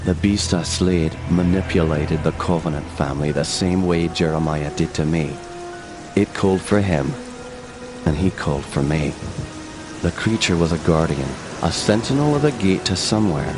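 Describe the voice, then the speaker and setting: An actor playing a grave, embittered adventurer hero in a video game, speaking with brooding intensity. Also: male voice, low voice. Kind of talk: dramatic video game acting and narration.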